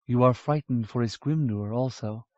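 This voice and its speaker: A man voicing a warm, teasing young mother from the grasslands, who speaks in a soft, low way. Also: moderate pace, no room sound, lightly acted. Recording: dull lo-fi audiobook recording.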